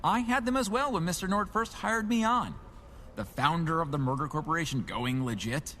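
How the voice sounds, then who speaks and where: An actor playing an earnest, politely officious company assistant in a radio drama, a little fussy. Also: male voice, on the high side, normal speed, fairly bright tone, slight background noise, natural voice. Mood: earnest, reassuring.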